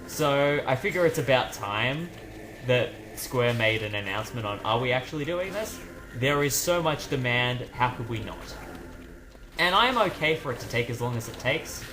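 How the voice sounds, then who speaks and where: A man's voice: medium pitch, animated, moderate pace, background noise. A man giving casual gaming commentary as a let's play host, rambling off on tangents as he plays.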